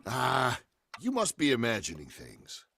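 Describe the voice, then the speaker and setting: A man's voice: mid-range voice, wildly swooping pitch, a little slow, creaky, pushed and bright, a little hiss or hum, exaggerated voice. An actor playing a hard-nosed, skeptical male estate agent in dramatic, emphatic old-time radio horror style.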